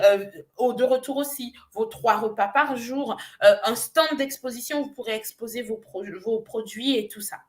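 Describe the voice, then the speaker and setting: Nigerian-born woman coach, slightly high voice, earnest sales pitch, hesitant pauses. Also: a bit bright. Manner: earnest, persuasive, with frequent hesitations. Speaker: business coach. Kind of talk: promotional pitch on a live show.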